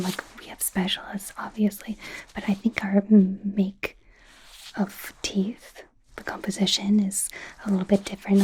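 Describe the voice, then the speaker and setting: A woman voicing an awkward, gentle werewolf doctor in a hesitant, breathy whisper for an ASMR roleplay. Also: medium pitch, slight background noise, lightly acted, rough recording. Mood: bemused, curious. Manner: hesitant, halting, softly reassuring. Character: awkward, curious young female werewolf doctor, gentle and apologetic. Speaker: ASMR performer.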